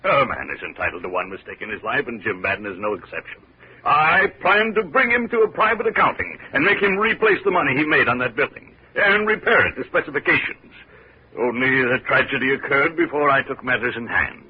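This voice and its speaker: A man's voice: mid-range voice, very animated, a little fast, husky. A man playing a pompous, evasive, cornered city mayor in a 1950 radio drama, blustering and stammering. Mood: pleading, self-justifying. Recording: muffled old 1950 radio recording.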